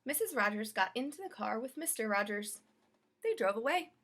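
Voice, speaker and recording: A woman with a high voice narrates a picture book for children. Her pitch rises and falls widely, and she turns to address the young listeners directly as she reads. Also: animated, a little fast, fairly bright tone. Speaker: storybook reader. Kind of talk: expressive children's read-aloud narration.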